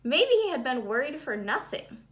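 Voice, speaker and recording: A woman reading a children's storybook aloud in an expressive, dramatic, suspenseful way. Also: sits high, normal speed. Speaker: storybook reader.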